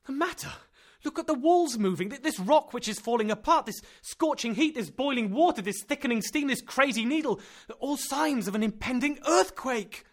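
A man voicing a terrified young man who shouts frantically. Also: mid-range voice, lively intonation, fast talker, few pauses. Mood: frantic. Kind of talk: audiobook character reading.

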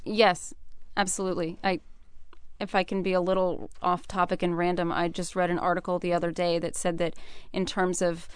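A young American woman speaks as an interview guest in a clean, smooth voice. She is earnest and articulate, thinking through her answers, and the sound is close and dry. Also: on the low side, flat delivery, moderate pace, no echo. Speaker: interview guest, military veteran.

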